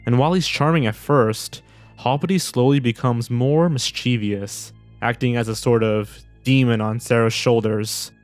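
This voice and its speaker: Male narrator, average pitch, scripted read, dry sound with a music bed. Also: no echo. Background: background music.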